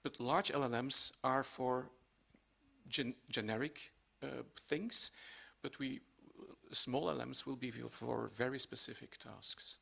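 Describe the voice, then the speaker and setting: Man, creaky voice, medium pitch, halting short phrases, technical panel talk. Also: sharp tone. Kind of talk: spontaneous technical explanation.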